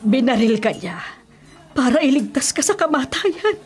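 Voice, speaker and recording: A woman's voice: high-pitched, very animated, normal speed, breathy edge, exaggerated voice. An actress with a Filipino accent playing a loving, imploring mother of a wayward grown son in a radio drama. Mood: earnest explaining. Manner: earnest, imploring.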